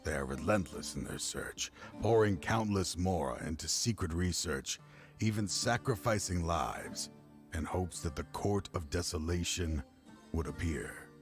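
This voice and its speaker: A worn-down former partner turned foe, speaking slowly and heavily in a very deep, low register. His somber game-cutscene lines carry grief beneath a steady, measured calm. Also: soft background music. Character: grieving older male ex-partner turned foe.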